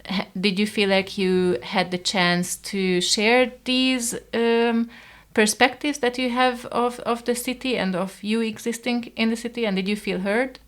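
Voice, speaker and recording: A woman hosting an interview, asking questions in a halting way as she searches for words. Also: fairly high pitch, steady tone. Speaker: podcast interviewer.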